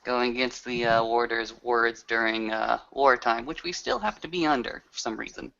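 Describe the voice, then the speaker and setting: A man playing in a tabletop roleplaying game, speaking with formal, halting phrasing. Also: mid-range voice, gravelly, bright, forceful tone, lo-fi sound.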